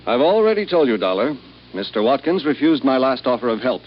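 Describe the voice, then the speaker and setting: An actor playing a terse, exasperated son-in-law in a 1950s radio drama. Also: male voice, medium pitch, lively intonation, brisk, natural voice. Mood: aggrieved. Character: aggrieved son-in-law pushed out of family company. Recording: muffled old radio recording, faint noise.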